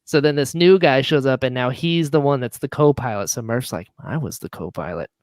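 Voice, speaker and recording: A man chatting casually as a podcast guest and drama writer, telling stories and acting out quoted lines in an animated way. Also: mid-range voice, a little fast, no room sound, clean studio sound. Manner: animated storyteller who acts out quoted lines. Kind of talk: casual chat.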